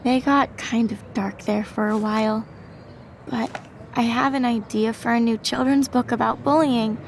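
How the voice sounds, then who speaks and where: A fragile, sweet teenage girl with a fairly high voice. She speaks carefully and sincerely in a naturalistic video game style, over faint noise. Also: fairly even intonation, a little slow, gravelly. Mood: hopeful.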